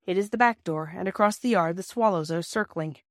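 A woman reading a scripted storybook as an audiobook narrator, in a measured, expressive storytelling manner. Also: lowish voice, no echo, studio quality.

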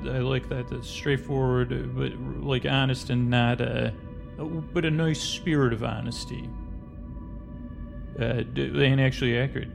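Man, creaky fry in a mid-pitched voice, rambling bedtime podcast talk, halting reading of reviews. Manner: meandering, halting delivery. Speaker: podcast host thanking listeners. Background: music.

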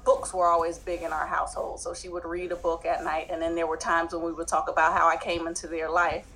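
A woman's voice: lowish voice, a bit bright. A woman from New Jersey with a slight Southern twang, a guest telling her story in an earnest, open way. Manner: earnest, open, laughs easily. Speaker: guest, educator and author. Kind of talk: interview storytelling.

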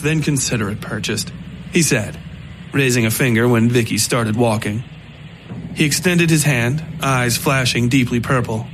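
A man reading scripted dark fiction as narrator, in a measured, literary storytelling manner. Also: mid-range voice, slight background noise, poor audio.

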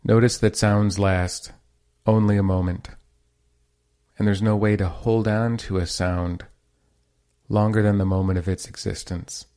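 A man leading a guided meditation as a teacher, speaking in a calm, measured and gently instructive way. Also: fairly low pitch.

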